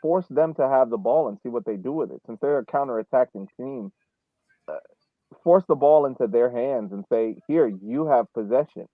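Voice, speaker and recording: A man's voice: mid-range voice, animated, moderate pace, no echo. A man giving casual sports analysis as a podcast panelist, explaining his points step by step. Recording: dull phone-like band-limited audio.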